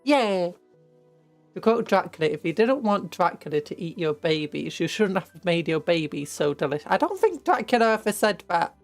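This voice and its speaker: A young British woman chatting on a livestream, her voice a little croaky. She rambles playfully, stumbling over restarts and now and then breaking into squeals. Faint music plays behind her. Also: on the low side, very animated, normal speed. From Britain. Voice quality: croaky from hay fever. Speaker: streamer painting miniatures. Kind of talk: casual livestream chat.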